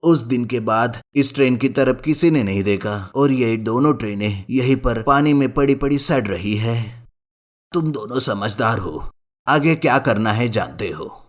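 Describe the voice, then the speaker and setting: A man's voice: medium pitch, expressive, measured pace, lightly acted. Man voicing a helpful old village uncle. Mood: solemn, encouraging. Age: older.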